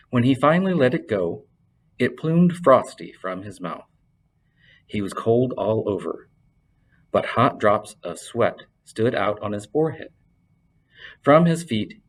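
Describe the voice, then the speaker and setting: A man's voice: lowish voice, husky, low-quality recording. A man presenting and reading a fantasy story aloud as scripted narration. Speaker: library reader and presenter.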